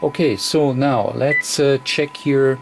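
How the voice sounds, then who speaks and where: Slow, hesitant man explaining radio tests. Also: mid-range voice, fairly even intonation, creaky. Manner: halting, fragmented delivery with very frequent hesitations. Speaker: radio technician demonstrator. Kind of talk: unscripted technical demonstration. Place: indoor electronics test bench. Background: radio receiver noise.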